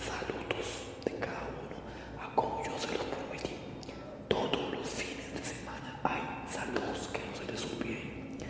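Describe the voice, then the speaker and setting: A man whispers slowly in a breathy ASMR style, often repeating himself. The sound swims in very heavy echo and seems to come from far away. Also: sits high. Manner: slow, halting, repeats phrases softly. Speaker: ASMR video host. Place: small indoor room at home. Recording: very echoey, reverberant, distant-sounding processed audio.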